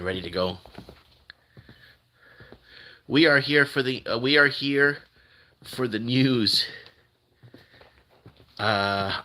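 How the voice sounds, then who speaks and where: A man's voice: mid-range voice, bright, forceful tone. A man whose first language is Portuguese, hosting a casual live-stream news commentary, rambling and repeating himself. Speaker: host.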